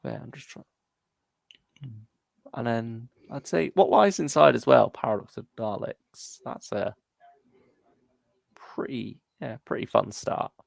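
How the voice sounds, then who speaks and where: A man's voice: mid-range voice, expressive, slow pace, gravelly, long pauses, no room sound. A man co-hosting a podcast, chatting casually and a little hesitantly about fan topics and listener comments. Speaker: podcast co-host.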